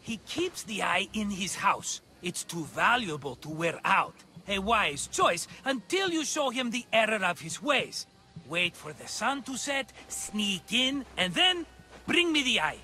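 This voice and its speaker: An actor playing a scheming, flattering, tight-fisted merchant in a video game, with a theatrical, wheedling manner. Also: male voice, high voice, unhurried, heavily acted. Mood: scheming, eager. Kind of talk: video game cutscene acting.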